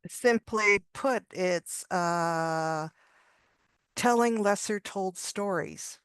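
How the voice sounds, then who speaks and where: A woman's voice: mid-range voice, extremely slow, clean tone, no room sound. A woman contributing author on a video panel, telling a story conversationally, with frequent restarts and small laughs. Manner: rambling with many restarts and laughs. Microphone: video call.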